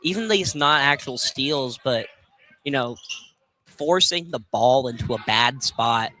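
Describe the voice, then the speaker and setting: A man working as color commentator on a live college basketball game, informal and a little halting. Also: mid-range voice, animated, bright, forceful tone. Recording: clearly noisy live radio broadcast.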